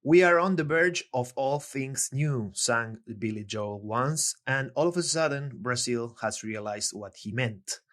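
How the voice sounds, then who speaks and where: Man hosting, halting and filler-heavy. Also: mid-range voice, animated, a bit bright. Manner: hesitant, many fillers and restarts. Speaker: podcast host and journalist. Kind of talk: casual podcast interview.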